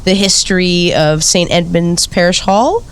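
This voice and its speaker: Earnest, hesitant woman journalist, lowish voice. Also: a little slow, clean tone. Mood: polite, curious. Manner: hesitant, friendly, eager. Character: earnest, polite woman investigative journalist probing a haunting. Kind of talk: naturalistic audio play dialogue.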